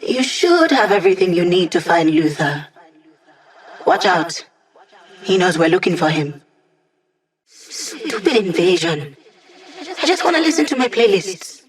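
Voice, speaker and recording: Playful, wry young hacker. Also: female voice, on the low side, big pitch swings, measured pace, long pauses. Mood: brisk, wry. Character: upbeat, quirky young female hacker living as digital being. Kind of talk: video game character dialogue.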